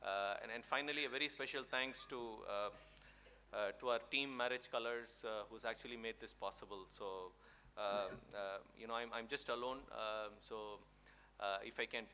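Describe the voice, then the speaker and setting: A man in his thirties giving a thank-you speech at an awards event from a podium on a large indoor stage, halting, with many fillers and restarts. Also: fairly low pitch, fairly even intonation, slight background noise. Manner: louder and more raised toward the end. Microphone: stand mic at a podium.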